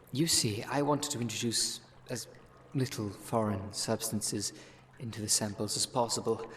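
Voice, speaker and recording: A man acting as a wry, world-weary city witch in an ASMR audio roleplay, talking in a conversational, sardonic way. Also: lowish voice. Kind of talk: ASMR audio roleplay, one-sided dialogue. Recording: long reverb, noisy background.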